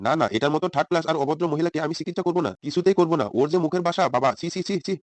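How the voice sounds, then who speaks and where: A man plays a proud, indignant old cartoon doctor. He scolds huffily and repeats himself at a very fast clip, in a fairly high voice with a dry, close sound. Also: no room sound, lightly acted. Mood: offended. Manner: huffy, scolding, repetitive. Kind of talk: comedy cartoon dialogue.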